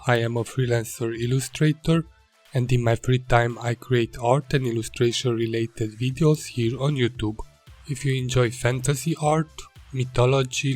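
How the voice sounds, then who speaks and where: A man with a Hungarian accent, whose first language is Hungarian, narrating an art video in a careful, slightly formal way. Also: mid-range voice. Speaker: illustrator and channel host. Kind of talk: calm narrated art voice-over.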